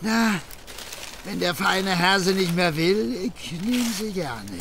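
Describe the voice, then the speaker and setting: A tipsy working-class Berliner in a radio play, good-natured and wheedling. His high voice swoops up and down through slurred, rambling phrases, with clear noise behind it. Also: animated, slow, fairly bright tone, heavily acted. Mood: amazed, pleased. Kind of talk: German radio play acting.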